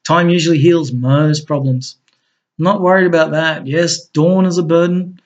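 A man voicing an eager, charming husband who coaxes and flatters his partner. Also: a little high, normal speed, pitched above the performer's own voice, close to an everyday voice. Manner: enthusiastic, coaxing. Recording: dull lo-fi recording.

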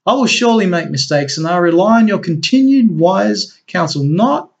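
A man voicing an eager, charming husband who coaxes and flatters his partner. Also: high-pitched, moderate pace, creaky, voice pushed up. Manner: enthusiastic, coaxing. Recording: dull lo-fi recording.

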